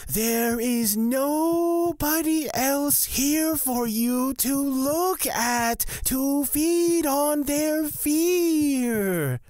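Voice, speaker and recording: A creepy blood witch from a fantasy audio drama, played by a woman. The voice is high and very slow, deliberate and menacing, with a dry, close sound. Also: dark tone, dry recording. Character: creepy, intense blood witch, cleric of fear.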